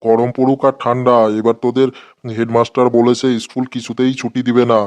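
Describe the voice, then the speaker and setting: A man's voice: medium pitch, completely flat delivery, brisk, a little mellow, natural voice. A man voicing a sarcastic, exasperated schoolteacher scolding pupils in a comedy cartoon. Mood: stern.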